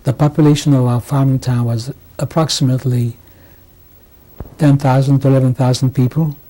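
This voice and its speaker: A man in his sixties from Hungary, giving oral history testimony in a halting way, with many restarts and pauses. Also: mid-range voice, flat delivery, warm tone, poor audio. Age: 60s. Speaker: interviewee. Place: home interview.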